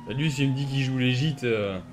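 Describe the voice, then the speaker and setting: A man's voice: mid-range voice, moderate pace, slight background noise. A man giving casual, slangy gameplay commentary in a grumbling way, like a streamer. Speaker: gaming video maker, streamer.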